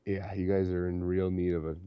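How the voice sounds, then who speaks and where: A man's voice: low-pitched, completely flat delivery, brisk, clean tone, no room sound. A young man co-hosting a casual sports podcast, chatting and laughing often between points. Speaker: podcast co-host. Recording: dull, dry lo-fi recording.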